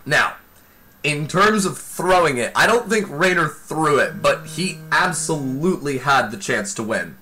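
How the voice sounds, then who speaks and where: Excitable man with a tired voice calling a match. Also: fairly high pitch, faint music behind, low-quality recording. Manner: excitable, exclaims often, talks fast in bursts. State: losing his voice. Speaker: esports commentator. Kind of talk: excited live play-by-play commentary.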